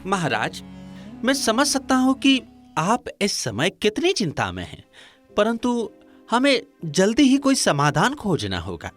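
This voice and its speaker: A formal, respectful male royal minister in a cartoon storybook, as a woman plays him. The grave voice swings very widely in pitch while keeping a slow pace. Soft music sits behind it. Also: heavily acted. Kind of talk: dramatised cartoon storybook dialogue.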